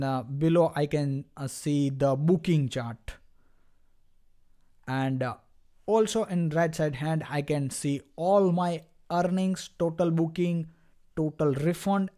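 A man instructing in an unscripted screen-recorded software demo, explaining step by step in a halting way and addressing viewers directly. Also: fairly high pitch.

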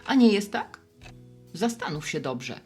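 An actress playing a friendly, wise, gently teasing middle-aged woman who runs a bar, in conversational radio play dialogue. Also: on the low side, big pitch swings, a little slow, soft background music. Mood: gently reflective. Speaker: barkeeper.

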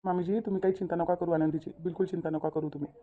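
A woman's voice: on the low side, steady tone, very fast, narrow, tinny audio, a little hiss or hum, close to an everyday voice. A woman voicing an earnest young bridegroom in a cartoon story, soothing and repetitive, with a Vidarbha Marathi accent. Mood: reassuring. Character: earnest, reassuring young bridegroom, polite son-in-law. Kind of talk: amateur cartoon story dialogue.

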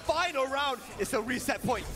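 Excited high-voiced man commentating esports. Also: normal speed, music in the background. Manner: excited, shouts at big moments. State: losing his voice. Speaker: tournament commentator.

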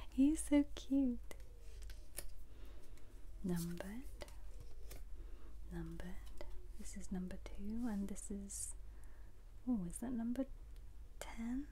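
Young woman whispering asmr in a caravan, slow and rambly, voice a bit low from a cough, mellow. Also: a little hiss or hum. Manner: soft, rambling whisper while handling cards. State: voice low after a bad cough. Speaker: ASMR creator. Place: small caravan.

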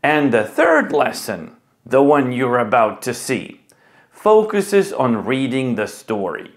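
A middle-aged man speaking as an English teacher, with deliberate, clearly enunciated delivery. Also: mid-range voice, huge pitch swings. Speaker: ESL teacher and video host. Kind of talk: presenting to camera and leading a children's class.

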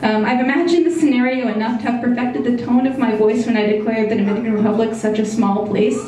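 Young woman reading her essay at a live reading, kinda high voice, super echoey room, a little off the mic. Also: fairly even intonation, warm tone, distant mic, slight background noise. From United States. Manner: measured, reflective reading from a phone. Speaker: essay reader. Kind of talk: reading a personal essay aloud. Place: small brick-walled bar venue, indoors. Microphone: stage mic on a stand.

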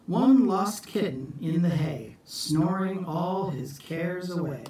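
A middle-aged man reads a picture book aloud with theatrical care. His voice sits fairly high and he takes it slowly. The room echoes heavily around him. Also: expressive, mellow, slight background noise. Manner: deliberate, theatrical storybook delivery. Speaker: storytime reader for schoolchildren. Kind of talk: expressive children's picture book read-aloud. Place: small room at home, indoors. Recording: echoey room, distant phone mic.

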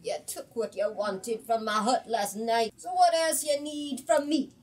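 A woman's voice: fairly high pitch, unhurried, darkish tone. A woman voicing an ancient, snappish witch in a storybook reading, emphatic and scornful. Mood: defiant.

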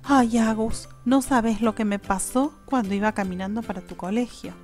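A woman with an Argentine accent playing a playful, dramatic schoolteacher mother in an animated, emphatic manner. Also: a little high, moderate pace, soft background music, voice pushed up, lightly acted. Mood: affectionate, teasing.

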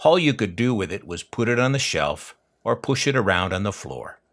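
Older American man, very animated, lowish voice. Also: normal speed. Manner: wry, dryly humorous. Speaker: host of a collector's unboxing channel. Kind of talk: scripted unboxing narration with nostalgic asides.

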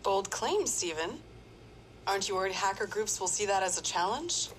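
A probing female news interviewer in a video game, acted by a woman. Her medium-pitched voice is lively, with faint noise on the playback. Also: moderate pace, natural voice.

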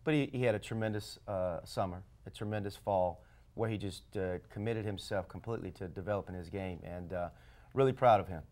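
A middle-aged man, a basketball coach, answering interview questions in a TV studio with measured speech, frequent fillers and restarts. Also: low voice, a little mellow. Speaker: head basketball coach, show guest. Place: TV studio set. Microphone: lapel mic.

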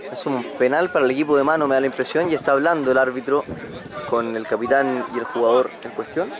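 A man with a Chilean Spanish accent giving live play-by-play commentary on a rugby match outdoors, quick and excited at the big moments. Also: a crowd in the background. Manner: quick, excited calls of the action, pitch jumping up on big moments.

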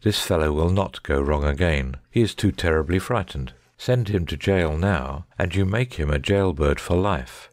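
An actor playing an authoritative, reasoning gentleman detective in a dramatic audiobook reading. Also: sits low, moderate pace, hardly any pauses, dry recording, close to an everyday voice. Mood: reflective, merciful.

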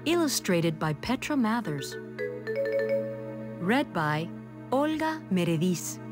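A woman narrating a children's storybook in an expressive, deliberate way. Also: fairly low pitch. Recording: music behind the voice.

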